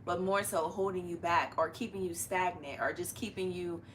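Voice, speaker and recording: A woman's voice: lowish voice, steady tone, normal speed, fairly bright tone, rough recording. A young woman hosting a family live stream in a chatty, familiar, slangy way from a living room. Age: young adult. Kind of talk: casual live-stream chat. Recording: noticeable room noise.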